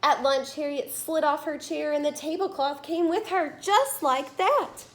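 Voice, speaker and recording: High-voiced woman reading a storybook to children. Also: slight background noise. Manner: expressive, emphatic storytelling with drawn-out phrases. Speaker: teacher reading a picture book aloud. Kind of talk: storybook read-aloud for children.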